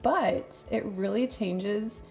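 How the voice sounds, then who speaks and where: Woman, light texan accent, mellow warm tone, rambling kitchen chat, phone recording, faint music behind. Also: medium pitch, slow, vocal fry. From Texas. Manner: chatty and rambling, laughs often. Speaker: home cooking vlogger. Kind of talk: casual cooking demo chat. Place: home kitchen.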